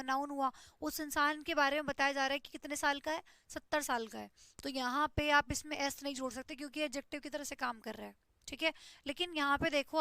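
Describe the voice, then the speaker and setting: A woman's voice: high voice, bright, forceful tone, dry recording. A woman teaches an online English grammar class, explaining step by step and checking in with "ठीक है". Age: 20s.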